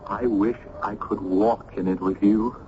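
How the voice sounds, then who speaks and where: An actor playing an earnest, smitten young student in a radio drama, speaking formally and ardently with florid diction. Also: male voice, on the low side, fairly even intonation, unhurried, lightly acted. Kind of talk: old-time radio drama acting. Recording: dull, noisy old radio recording.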